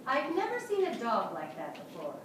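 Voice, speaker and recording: An anxious, high-voiced mother played by a woman in a stage reading, emphatic and theatrical. The pitch swings a lot, in a very echoey, noisy theatre. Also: huge pitch swings, normal speed, far from the mic. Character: Cynthia, anxious divorced mother of missing daughter. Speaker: actor in reader's theatre. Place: small theatre stage before an audience.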